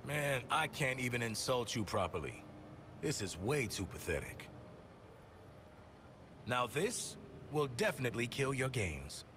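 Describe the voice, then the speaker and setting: Cocky, taunting game antihero, lively. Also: male voice, mid-range voice, measured pace, long pauses, noisy background. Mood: mocking. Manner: taunting, slangy. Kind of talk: video game voice acting.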